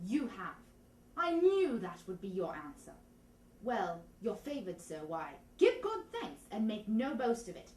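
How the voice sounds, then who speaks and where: A woman's voice: medium pitch, huge pitch swings, unhurried, a little mellow. Casting: woman as pompous, self-important stage constable with a comic put-on accent, declamatory, recorded at a distance in an echoey room. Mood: pompous, emphatic. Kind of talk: Shakespearean comedy, theatre audition reading.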